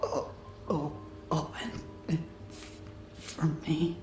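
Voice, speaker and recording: A man voicing a gentle, heartbroken young stallion in a dramatic animated comic, weak and halting, often near a whisper. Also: a little high. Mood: dying, weak. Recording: echoey, music over voice.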